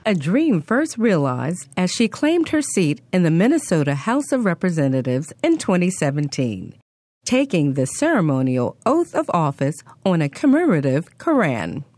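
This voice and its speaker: A woman narrating a scripted news report in a low voice with wide, lively intonation. Her delivery is polished and even-handed. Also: moderate pace, dry recording, clean studio sound.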